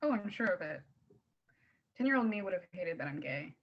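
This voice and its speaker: A woman's voice: fairly low pitch, expressive, brisk, highs cut off. A woman reading a wistful, candid, teasing teenage girl in plain-spoken screenplay table read dialogue.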